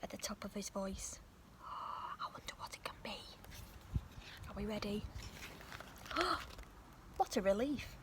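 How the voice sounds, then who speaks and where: A woman reading a children's picture book aloud outdoors in a park, in a soft, breathy storytelling voice with gasps and sudden shouts. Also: mid-range voice, moderate pace, in a whisper. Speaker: storybook reader.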